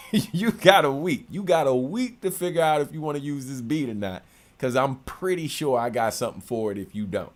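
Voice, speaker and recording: A man with a deep, low-register voice talks loosely and conversationally, answering questions in a home studio. His pitch sits in an ordinary middle range, and he speaks in a relaxed, unhurried way. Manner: relaxed, conversational, many fillers. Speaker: rapper and producer hosting a livestream. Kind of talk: casual livestream Q&A.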